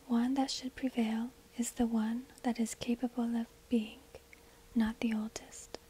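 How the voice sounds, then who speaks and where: Asmr lady whispering real slow and flat, super breathy, close on the mic. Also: a little high, slight background noise. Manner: slow, deliberate, hushed delivery. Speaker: ASMR video host and shopkeeper. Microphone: close binaural microphone.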